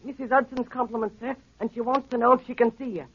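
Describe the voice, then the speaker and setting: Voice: mid-range voice, steady tone, fast talker, slightly rough. An actor playing a polite, eager young servant boy in a 1930s radio drama. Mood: earnest, puzzled. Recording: dull vintage 1930s radio recording.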